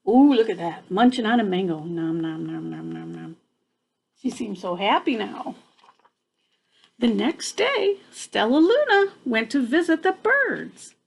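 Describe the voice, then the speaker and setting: Animated, slow-paced older woman reading stories. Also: on the high side, vocal fry, husky, rough recording. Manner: playful, exclamatory. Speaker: grandmother reading to children. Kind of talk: expressive picture-book storytelling with chatty asides.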